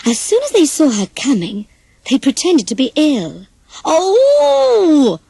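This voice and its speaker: A woman reads a children's tale in a high, husky voice. Her delivery swings widely in pitch, and the recording is close and dry. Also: big pitch swings, normal speed, darkish tone, dry recording. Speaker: storyteller reading a children's audiobook. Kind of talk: animated scripted children's story narration.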